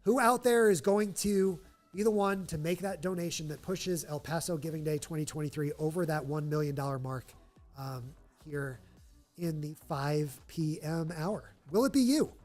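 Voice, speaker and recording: Nasal guy hosting a charity livestream, kinda high voice, chatty, faint music behind. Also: moderate pace, a little mellow. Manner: chatty, upbeat, keeps filling time. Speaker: livestream host. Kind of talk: live charity stream commentary. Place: stadium event room.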